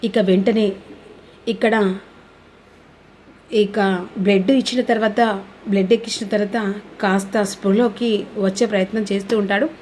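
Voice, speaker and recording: A woman's voice: mid-range voice, fairly even intonation, measured pace, pauses often, a little mellow, a little hiss or hum. A woman narrates an informal serial recap, linking events with repetitive connecting phrases. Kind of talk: informal storytelling recap with acted dialogue.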